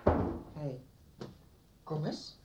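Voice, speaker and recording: A woman's voice: very slow, noisy background. An actress playing a middle-aged mother in a naturalistic radio soap, firm and protective, caring in manner. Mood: tender, consoling. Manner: polite but firm, consoling. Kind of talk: naturalistic 1990s Dutch radio soap acting.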